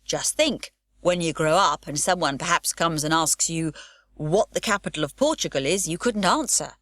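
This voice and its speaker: A woman's voice: low-pitched, animated, normal speed, fairly bright tone, no echo, studio quality. A woman voicing a grown male policeman who lectures in a stiff, sensible way. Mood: lecturing. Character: stern grown man, a policeman.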